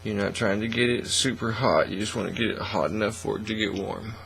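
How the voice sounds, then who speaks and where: A middle-aged man giving a casual, folksy, unhurried how-to demonstration at an indoor hobby bench. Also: on the low side, fairly even intonation, vocal fry, fairly bright tone, rough recording. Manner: folksy, unhurried step-by-step talk. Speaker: hobby craft tutorial host. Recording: faint mains hum and noise.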